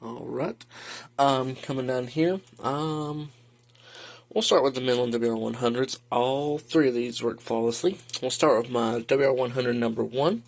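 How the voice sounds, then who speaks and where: A man talking casually and haltingly through an unscripted show-and-tell as a hobbyist. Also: medium pitch. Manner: halting, hesitant, informal. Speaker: hobbyist video host showing a weather radio collection. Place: indoor room at home. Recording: noisy home video with clicks.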